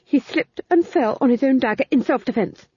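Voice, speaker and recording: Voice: sits high, fairly quick, warm tone, breathy edge, no echo, pitched above the performer's own voice. An audiobook reader voicing an anxious, guilt-ridden male duke who babbles nervously, in a dull lo-fi recording.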